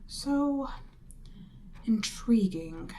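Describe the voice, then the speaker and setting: A woman voicing a proud, seductive demon queen in a scripted ASMR roleplay, haughty and measured, lapsing into breathy near-whispers. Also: on the high side, lively intonation, mellow, a little hiss or hum, low-quality recording. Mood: hesitant, curious.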